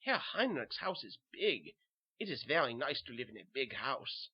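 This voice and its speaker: Guy doing a haunted german soldier, horror audio story, simple short lines, mid pitch, dull lo-fi mic. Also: sing-song, normal speed, breathy edge. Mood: subdued. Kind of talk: first-person horror audio narration.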